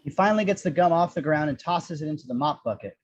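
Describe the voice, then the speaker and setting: A man narrates stage directions for an amateur script read. His voice sits a little high and has a husky grain. The sound is somewhat dull and lo-fi. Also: lively intonation, fast.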